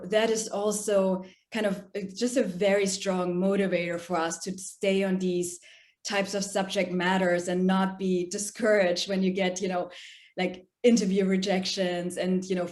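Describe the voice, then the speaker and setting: A woman documentary filmmaker who grew up in Germany, fielding questions at a festival Q&A. Her voice sits at a middle pitch, and she circles her points with fillers and restarts. The sound has a roomy echo around it. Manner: many fillers and restarts, animated. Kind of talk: festival Q&A interview.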